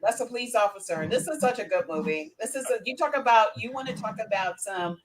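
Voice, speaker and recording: A woman's voice: medium pitch, fast talker, a crowd in the background, low-quality recording. A woman hosting a casual film discussion, talking with enthusiasm and emphasis.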